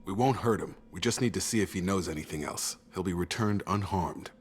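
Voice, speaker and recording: An actor playing a steady, reassuring soldier in a sci-fi game, calm and matter-of-fact. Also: male voice, deep voice, a little fast, slightly rough. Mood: calm, firm. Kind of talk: cinematic sci-fi game dialogue.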